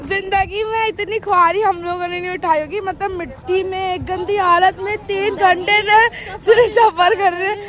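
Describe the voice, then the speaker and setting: A woman's voice: very high-pitched, steady tone, normal speed, few pauses, a crowd in the background. A young woman vlogging casually at an outdoor water park, talking into a phone held at arm's length, playful and complaining. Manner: complaining, playful, talks straight to the camera. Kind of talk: casual vlog chat.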